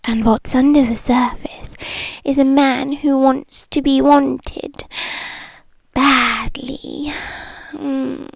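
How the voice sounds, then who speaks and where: British woman acting as a teasing yandere bartender girlfriend for ASMR roleplay; high, very slow, breathy and whispery, close-miked. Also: fairly even intonation, dry recording, exaggerated voice. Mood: possessive, coaxing. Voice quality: breathy, often dropping into whisper. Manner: slow, teasing, lingering pauses. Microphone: close-up ASMR microphone.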